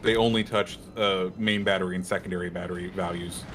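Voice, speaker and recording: A man's voice: sits low, steady tone, fast, a bit bright, a little hiss or hum. A man hosting a game livestream, commenting in a laid-back, wry way. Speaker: streamer host. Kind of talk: casual gameplay commentary.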